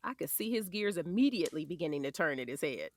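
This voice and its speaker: A woman's voice: low voice, animated, fast, slight background noise. A woman playing an affectionate, sassy wife and mother in an audio drama, with playful delivery. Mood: playful, fond.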